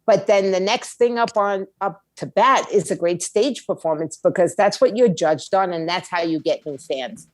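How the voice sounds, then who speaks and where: A woman's voice: mid-range voice, moderate pace, few pauses, no echo. A woman giving blunt, opinionated conversational answers as an interview guest, in short emphatic statements. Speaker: guest, music label owner and attorney.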